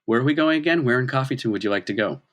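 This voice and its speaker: A man's voice: mid-range voice, animated, very fast, pitched above the performer's own voice, close to an everyday voice. A man voicing an agreeable, easygoing fan offering a lift, in a breezy, obliging manner.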